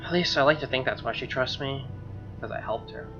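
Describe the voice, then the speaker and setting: A man reading visual novel narration aloud as a let's player, expressive, with casual asides and reactions. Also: mid-range voice, a little fast. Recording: dull lo-fi mic, music clearly behind. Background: game music.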